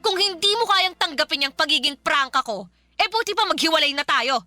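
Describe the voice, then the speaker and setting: An actress playing a blunt, snobbish young girlfriend with a bratty, sharp-tongued manner in a Filipino radio drama. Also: high-pitched, lively intonation, fairly quick, dry recording, faint music behind. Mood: defiant, scornful.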